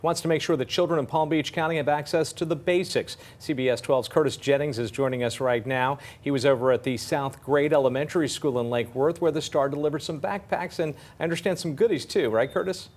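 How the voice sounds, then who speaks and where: A man's voice: fairly high pitch, a little fast, hardly any pauses, a little mellow. A man working as a TV news anchor, reading stories and handing off in a studio.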